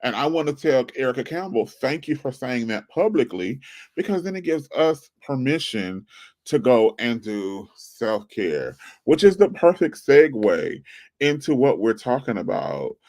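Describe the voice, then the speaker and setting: Forty-something guy on his channel, average pitch, preachy coach vibe, repeats himself a lot. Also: very animated, measured pace, darkish tone. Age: 40s. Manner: earnest, repetitive, preachy coaching tone. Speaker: channel host. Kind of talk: casual livestream advice talk.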